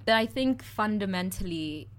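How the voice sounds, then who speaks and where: A woman's voice: medium pitch, lively intonation, normal speed. A woman in her twenties from South Africa with a South African accent, co-hosting a podcast in candid, crude, laugh-filled chat. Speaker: podcast co-host. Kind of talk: casual chat.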